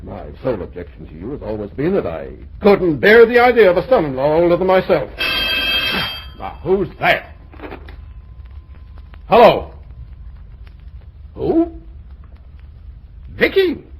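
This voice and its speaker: Blustering radio-play father, middle-aged, sarcastic and husky, very animated delivery. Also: a little high, a little slow, long pauses, full character voice, poor audio. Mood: flustered, incredulous. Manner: blustery, theatrical, dryly sarcastic. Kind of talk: old-time radio comedy acting.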